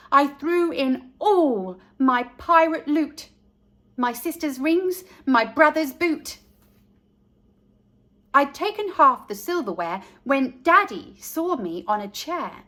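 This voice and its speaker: Female storytime reader, high and bright, animated delivery for a young audience. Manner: deliberate, animated storyteller addressing children. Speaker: children's storytime narrator. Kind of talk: expressive picture-book read-aloud for young children.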